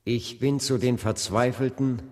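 A man's voice: mid-range voice, steady tone, measured pace, darkish tone, natural voice. An actor playing an earnest, resolute male civil rights leader and preacher in a German radio drama, with weighty, emphatic oratory. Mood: impassioned, resolute. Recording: reverberant hall sound.

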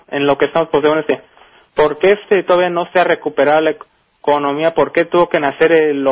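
A man from northern Mexico with a local accent, calling a radio show and speaking casually and haltingly, with many filler words. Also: on the high side. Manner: hesitant, heavy use of filler words. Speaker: radio caller. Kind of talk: casual call-in comment. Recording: dull lo-fi call audio.

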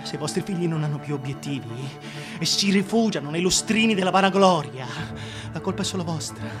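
A man's voice: animated, a little fast, over music, natural voice. An actor playing a vain, self-pitying tyrant king in a theatrical, declamatory radio drama monologue, volatile and contemptuous. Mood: contemptuous, ranting. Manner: declamatory, volatile, contemptuous, swinging from hushed to shrill.

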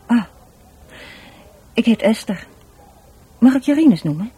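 A woman's voice: fairly high pitch, expressive, measured pace, warm tone, husky, full character voice. An actress playing a shy, guarded, educated woman in a radio drama, speaking hesitantly with a Dutch accent. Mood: reserved, guarded. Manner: hesitant, repeats words, evasive. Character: shy, guarded, educated sister of a dead man.

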